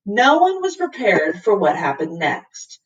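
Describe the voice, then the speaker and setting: A woman teacher reading a children's storybook aloud with expressive narration, recorded away from the microphone. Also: mid-range voice, measured pace, husky, recorded from a distance, lo-fi sound.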